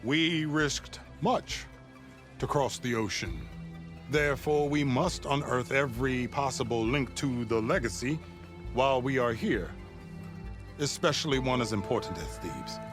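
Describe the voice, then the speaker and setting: A man's voice: medium pitch, unhurried, music in the background, natural voice. Solemn overseer for a video game male actor, ceremonious and deferential, medium register, scored underneath. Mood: formal, grave. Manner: formal, reverent, ceremonious. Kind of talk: dramatic video game acting.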